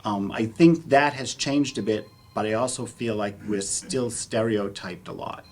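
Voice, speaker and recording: A man speaking as a panelist and actor in a discussion, phrasing his points in a measured, deliberate way. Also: lowish voice.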